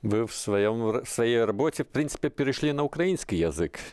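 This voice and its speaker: A man's voice: medium pitch, lively intonation, brisk, a little mellow, no room sound. A male radio host asking questions in a studio, in a tentative, searching way. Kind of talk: interview questions. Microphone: headset studio mic.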